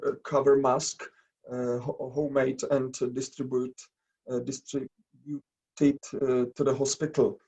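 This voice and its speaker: A man from the Czech Republic with a Czech accent, presenting slides in a webinar in halting English full of pauses and restarts. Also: medium pitch, vocal fry, mellow, highs cut off. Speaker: webinar presenter, nursing educator. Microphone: video call.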